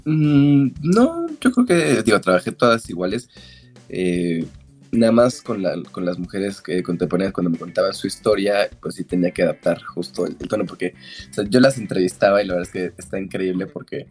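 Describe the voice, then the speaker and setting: A man's voice: low voice, lively intonation, fairly quick, darkish tone, slightly rough, soft background music. A man, an author answering interview questions in a frank, opinionated way. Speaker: author and guest.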